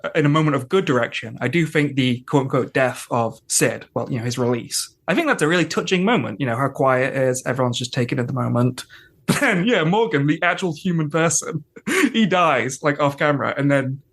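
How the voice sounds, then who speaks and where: Male podcast panelist, mid-pitched, casual and analytical, thinking through points with frequent asides. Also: expressive, a little fast, fairly bright tone. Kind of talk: casual episode discussion.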